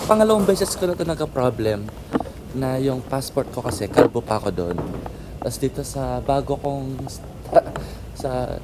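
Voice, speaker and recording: Slow-talking Filipino man vlogging over loud noise. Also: medium pitch. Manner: informal, mixes Tagalog and English. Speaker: travel vlogger. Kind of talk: casual vlog chat. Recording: very noisy handheld travel recording, ambient din.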